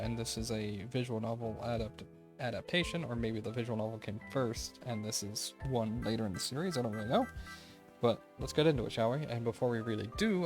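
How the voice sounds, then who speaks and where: A man hosting a game review video with casual, tentative, unscripted commentary, thinking aloud as he goes. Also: medium pitch.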